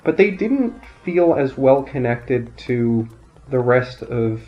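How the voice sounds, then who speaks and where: A man calmly commentating his gameplay, thinking aloud, his voice nasal and raspy from a sinus infection. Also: mid-range voice, slow. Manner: calm, explanatory, thinking aloud. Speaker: let's play commentator. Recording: dull lo-fi audio, faint game music.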